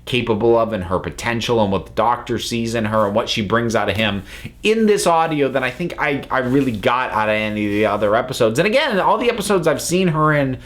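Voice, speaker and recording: A man's voice: mid-range voice, lively intonation, fairly quick, fairly bright tone. A man giving an unscripted review as a commentator, talking analytically and often stopping to rephrase himself. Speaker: reviewer, channel host.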